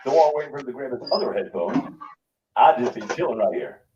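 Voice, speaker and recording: A man's voice: medium pitch, lively intonation, fast, a little mellow, highs cut off, slight background noise. A man of fifty-one with an American accent, hosting a casual, profane interview in streetwise slang. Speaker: interview show host. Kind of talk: casual, profane video-call chat.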